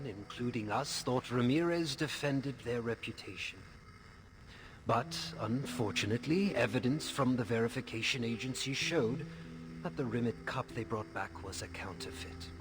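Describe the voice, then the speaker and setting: An actor voices a self-assured, dismissive company executive in a video game. His man's voice is mid-range and his delivery formal and pompous. Music is clearly audible behind him. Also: mid-range voice, close to an everyday voice. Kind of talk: scripted interview speech in a video game.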